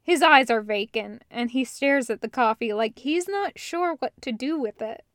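A woman's voice: fairly high pitch, lively intonation, normal speed, a bit bright, dry recording, clean studio sound. A woman narrating a story as a reader of fan fiction audiobooks.

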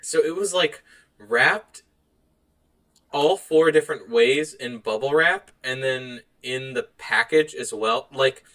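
A man's voice: mid-range voice, takes it slowly, a bit bright, poor audio. A man co-hosting a podcast in casual, joking banter, swearing now and then. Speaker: podcast co-host.

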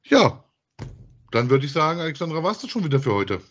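A man's voice: fairly high pitch, very animated, brisk. A man chatting casually as co-host of a podcast that reviews audio dramas.

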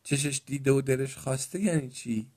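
A man's voice: medium pitch, a little mellow, breathy edge, no echo, lightly acted. A man voicing a farmer's young daughter, indignant and protesting, in a children's story. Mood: tearful protest. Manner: protesting, exclamatory.

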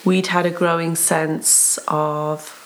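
A middle-aged woman from Wales with an English accent, talking casually as an interviewee, chatty and quick to jump in with her own story. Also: low-pitched, little pitch movement, a little hiss or hum, low-quality recording. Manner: chatty, interjecting, speeding up when telling a story. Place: building site overlooking a town.

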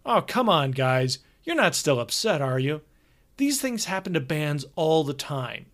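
A man's voice: fairly high pitch, big pitch swings, measured pace, a bit bright, exaggerated voice. A man voicing a pushy, upbeat older road manager who is brash and dismissive. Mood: jovial.

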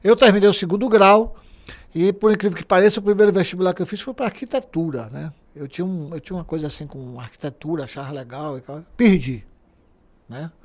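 A man's voice: high-pitched, quick pace. A man, a guest telling stories in a casual, joking way and laughing often. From Bahia, Brazil. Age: 60s. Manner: animated, jokey, laughs often. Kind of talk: casual storytelling interview.

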